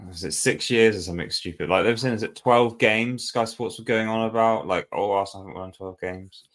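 A man's voice: lowish voice, brisk, a bit bright. A man co-hosting a football podcast, chatting casually and rambling with fillers and restarts. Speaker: podcast co-host.

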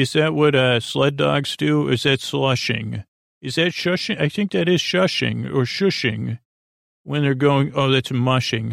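A man with a creaky voice hosting a bedtime podcast, rambling in a soothing, sleepy, hesitant way with stop-and-start phrasing. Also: mid-range voice, no echo, clean studio sound. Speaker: podcast host.

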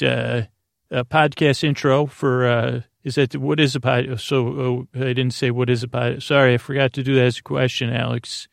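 A man hosts a sleep podcast with a heavily creaky voice, recorded close and dry. He meanders soothingly from one thought to another, gently poking fun at himself as he loses his thread. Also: mid-range voice, no room sound. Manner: meandering, self-deprecating, soothing, easily distracted. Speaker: podcast host. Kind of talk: rambling bedtime podcast monologue.

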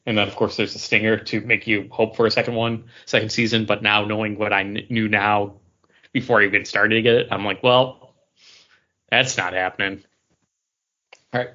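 Bright-voiced man chatting on a podcast. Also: lowish voice, little pitch movement, normal speed, dull audio. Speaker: podcast co-host. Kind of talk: casual pop culture podcast chat.

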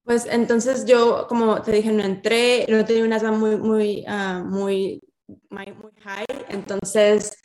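A woman's voice: mid-range voice, little pitch movement, takes it slowly, a little mellow, echoey room, rough recording. A woman from Mexico in her thirties with a Mexican accent, speaking as an interview guest in casual talk, slipping English terms in among hesitant fillers. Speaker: army officer guest.

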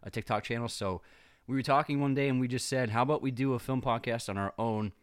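A man from the United States with a flat, emotionless delivery, chatting as a podcast guest. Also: medium pitch, fast, clear, resonant tone, no echo, clean studio sound. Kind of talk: casual chat.

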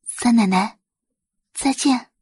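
A woman's voice: fairly high pitch, slow, darkish tone, husky, no room sound, clean studio sound, voice pushed up, exaggerated voice. Woman playing a cool, commanding young heiress. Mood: quiet, composed. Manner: mild, detached, terse. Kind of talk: audiobook character acting.

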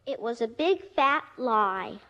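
A woman's voice: on the high side, expressive, slow pace, fairly bright tone. A woman playing a cheeky, blunt young daughter in a mid-century radio comedy, with slangy, sassy delivery. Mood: matter-of-fact. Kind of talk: 1950s radio comedy acting. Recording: dull, noisy old radio recording.

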